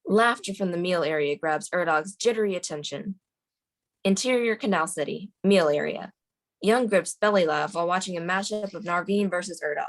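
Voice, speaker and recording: A woman's voice: fairly low pitch, moderate pace. A woman reading scene directions as the narrator at a screenplay table read.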